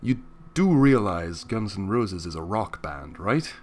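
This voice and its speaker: A man playing an exasperated, sarcastic young man in a story reading, sharp and incredulous. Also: low-pitched, very animated, unhurried, voice pushed down, heavily acted. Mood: puzzled.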